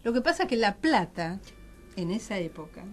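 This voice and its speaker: A woman's voice: mid-range voice, big pitch swings, normal speed, soft background music. A woman with an Argentine Rioplatense accent, explaining history and craft conversationally as an enthusiastic storyteller in a radio interview. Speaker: radio show guest. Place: radio studio.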